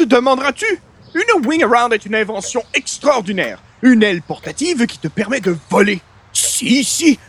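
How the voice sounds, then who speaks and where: Very high-pitched, excitable huckster. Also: male voice, slightly rough, heavily acted. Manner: pompous, florid salesman's patter. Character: excitable, grandiloquent male huckster peddling an absurd invention. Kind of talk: comic radio play acting in old-time sitcom format.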